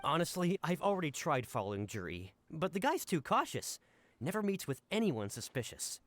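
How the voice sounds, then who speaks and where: A man's voice: a little high, sing-song, moderate pace, fairly bright tone. A man voice-acting an earnest, flustered subordinate in a video game, deferential and emphatic. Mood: frank. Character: earnest, flustered male subordinate reporting to his boss.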